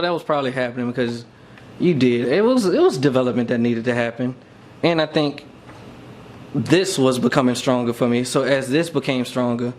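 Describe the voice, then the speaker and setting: A man's voice: mid-range voice, darkish tone, a little hiss or hum. A man talking casually and reflectively as a podcast co-host, thinking aloud in an informal way.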